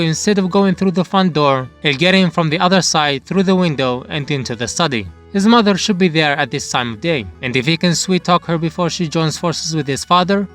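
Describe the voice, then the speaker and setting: A man with a slightly high voice narrates a story from a prepared script. He works as a storyteller-reviewer, with music running underneath. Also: a bit bright. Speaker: manga review channel narrator.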